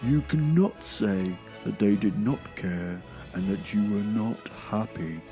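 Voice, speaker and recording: A big, jolly old Santa Claus, acted out by a man for an audio drama. The voice is low and slow, with a gravelly edge, and speaks with grand, sanctimonious courtesy. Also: animated, warm tone, soft background music. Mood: cheerful preaching. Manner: slow, grand, preachy, formally polite.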